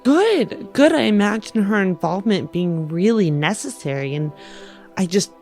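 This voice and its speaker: A woman's voice: mid-range voice, animated, moderate pace, a little mellow, soft background music, lightly acted. A woman playing an effusive, insistent wife posing as a prospective adoptive mother in a tabletop game. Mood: gushing.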